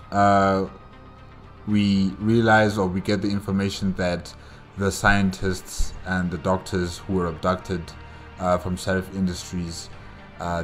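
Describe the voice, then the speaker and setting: A man with a very deep voice hosts a reaction video. He talks casually and rambles through a recap, circling back over his phrases. Faint music plays behind him. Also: a bit bright. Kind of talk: casual solo recap commentary.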